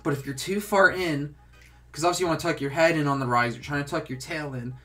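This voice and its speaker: A man's voice: fairly high pitch, quick pace, sharp tone, soft background music. A young man with a stuffed-up, congested voice, casually talking through a game tutorial with lots of filler words. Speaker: gaming tutorial host.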